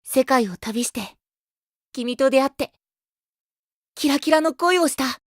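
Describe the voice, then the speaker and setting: A man's voice: extremely high pitch, unhurried, dry recording, studio quality. A man playing a sweet, innocent, boyish male idol in a Japanese mobile game, speaking in simple childlike phrases. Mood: tender, loving.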